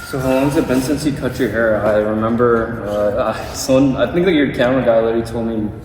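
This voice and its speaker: A man's voice: mid-range voice, fast, darkish tone. A young man hosting a podcast while cutting hair, asking loose, rambling questions full of "like". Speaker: podcast host and barber. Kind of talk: casual interview. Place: barbershop. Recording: echoey room, distant mic, faint noise.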